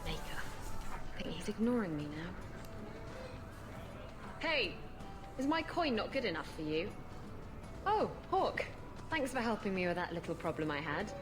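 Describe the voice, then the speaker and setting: An actress playing a flirtatious, sassy pirate captain in a video game, with a teasing, bantering manner. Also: female voice, medium pitch, very animated, normal speed, long pauses, lightly acted. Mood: playful, teasing. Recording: game music behind the voice.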